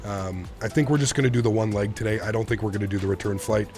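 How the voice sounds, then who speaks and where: A man's voice: on the low side, fairly even intonation, quick pace, darkish tone. Man in his thirties, straining slightly, music behind. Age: 30s. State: sore throat, straining voice. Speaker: flight simulator live streamer. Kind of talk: casual chat with stream viewers.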